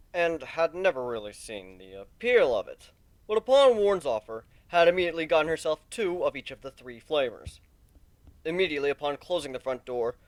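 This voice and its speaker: A man's voice: fairly high pitch, dry recording. A man narrating a fan fiction story as a dramatic reading.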